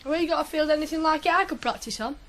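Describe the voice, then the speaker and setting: A man's voice: very high-pitched, rapid-fire, fairly bright tone. A young male actor playing a boastful, headstrong teenage ploughboy in a radio drama. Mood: cocky. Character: cocky, single-minded sixteen-year-old ploughboy. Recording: noisy 1980s radio drama recording.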